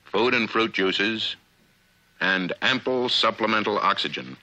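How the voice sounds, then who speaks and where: Deep, bright-voiced American training film narrator man. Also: animated, dull audio. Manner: instructional, measured, authoritative. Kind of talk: 1950s military training film narration, scripted. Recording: vintage 1950s film soundtrack.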